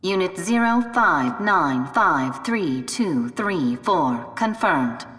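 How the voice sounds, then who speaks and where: A woman's voice: on the low side, animated, slow, a bit bright, faint music behind. A woman voicing a cold automated security computer in a video game, making impersonal procedural announcements with an echoing effect. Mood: cold, alerting. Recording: echoey hall with long reverb.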